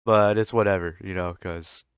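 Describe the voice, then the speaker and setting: A man with a lowish, smooth voice reacts casually to a video game. He rambles informally and swears a bit, close and dry on the mic. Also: fairly even intonation, moderate pace, no room sound. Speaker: gaming reaction YouTuber. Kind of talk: casual reaction commentary over game footage.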